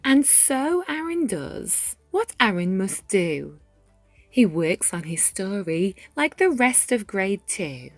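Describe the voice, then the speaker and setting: A woman reading a rhyming children's picture book aloud, with measured, dramatic phrasing and deliberate pauses. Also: medium pitch, sing-song, no echo. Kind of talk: expressive children's read-aloud narration in rhyme. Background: music.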